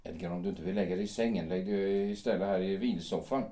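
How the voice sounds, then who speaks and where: A man plays a steady old friend who keeps the peace and sits up through the night. He speaks calmly and firmly in a low, warm voice. The sound is roomy. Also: close to an everyday voice. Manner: calm, measured, firm. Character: calm old friend keeping watch, steady mediator. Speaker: Kurt. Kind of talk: audio drama acting.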